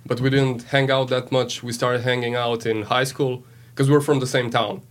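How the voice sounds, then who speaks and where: Band guy, flat monotone voice, just laying out the facts. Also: mid-range voice, moderate pace. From Slovenia. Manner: matter-of-fact, explaining. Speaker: band member guest. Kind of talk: casual interview chat.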